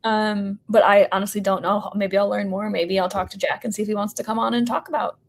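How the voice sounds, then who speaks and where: American woman, kinda creaky voice, chatting informally on a podcast, lots of likes and sort ofs. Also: medium pitch, level tone, a little fast, slight background noise. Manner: informal, hedging, frequent "like" and "sort of". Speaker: podcast guest, company representative. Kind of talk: casual interview conversation.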